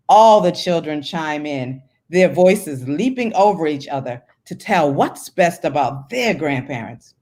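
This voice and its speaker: A woman, a children's book author, who chats casually as a guest and reads storybooks aloud. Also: deep voice. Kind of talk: casual interview talk, then storybook reading.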